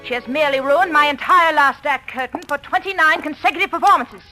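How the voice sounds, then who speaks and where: Snooty jealous leading lady, high cutting voice, very theatrical 1940s radio drama. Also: fairly quick, bright, forceful tone. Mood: scornful, indignant. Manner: haughty, scathing, theatrical. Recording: vintage radio broadcast with faint music.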